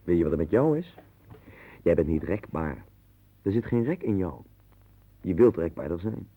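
An actor playing a blunt, domineering, somewhat pompous friend who lectures, in a 1960s Dutch radio play. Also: male voice, sits low, very animated, normal speed, heavily acted. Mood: lecturing, scornful. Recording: tinny, band-limited 1960s radio recording.

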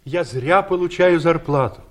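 An actor playing a meek, self-doubting ageing investigator in an audio play, with a mild, resigned manner. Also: male voice, a little high, normal speed, close to an everyday voice. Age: older. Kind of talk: Soviet audio play acting.

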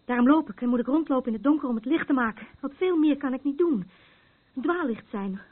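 Een actrice die in een hoorspel een getroebleerde, angstige vrouw speelt die zichzelf als nachtwaakster en onheilsprofeet ziet, ernstig en ratelend van gedachte naar gedachte. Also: female voice, high voice, fast talker. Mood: anxious, urgent. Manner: rambling, earnest, haunted. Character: troubled, fearful woman, self-appointed night watcher and doomsayer. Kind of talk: radio play acting. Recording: muffled phone-like sound with background noise.

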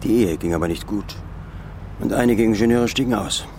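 A man voices a guarded informant, measured and cryptic in how he explains. His voice sits fairly low, and faint noise hangs behind it in this radio play. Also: darkish tone, lightly acted. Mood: explaining. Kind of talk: radio drama acting.

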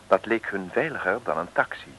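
A man's voice: sits low, animated, a little fast, fairly bright tone. A man narrating a comic radio serial from the 1960s in a wry storytelling manner. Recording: noisy old radio recording.

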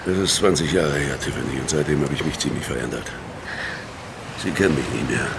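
Very deep, gravelly, battered ex-convict. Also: male voice, brisk, noisy background. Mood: guarded, subdued. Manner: laconic, understated. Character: weary, battered ex-convict, guarded and terse. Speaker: narrator and lead character. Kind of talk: German radio crime drama, first-person narration and dialogue.

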